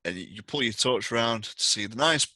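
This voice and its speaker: A man's voice: lowish voice, expressive, moderate pace, sharp tone, dry recording. A man narrating a tabletop roleplaying game as game master, improvising in a conversational way.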